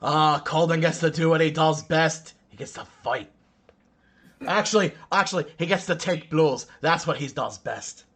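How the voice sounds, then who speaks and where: A man's voice: on the high side, normal speed, a bit bright, husky. A man taking part as a player in a tabletop game, joking bluntly and swearing casually.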